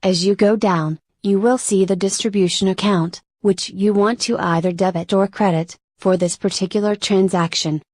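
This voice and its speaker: A woman narrates a software tutorial from a script. Her pitch sits in the middle for a woman, and she explains in measured steps. The recording is close and dry, with little room sound. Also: fairly even intonation, dry recording.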